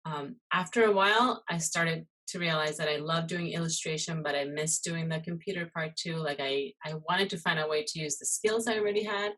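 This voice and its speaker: A low-pitched woman from Costa Rica talks through an interview in a relaxed, conversational way. She leans on fillers and loose asides as she tells her story. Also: fairly quick, few pauses, rough recording. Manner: chatty, many fillers and "you know". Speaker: guest, muralist and illustrator. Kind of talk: casual interview chat.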